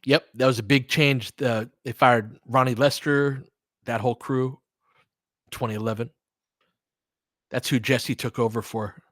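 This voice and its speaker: A man's voice: mid-range voice, fairly even intonation, unhurried, long pauses, a bit bright, dry recording. A man with a mid-range voice hosts a casual sports stream. He talks conversationally, working out his thoughts aloud and sometimes leaving them unfinished. The sound is close and dry, with very little room in it. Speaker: host of an audio play-by-play fan stream. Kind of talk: casual sports chat, answering listeners during play.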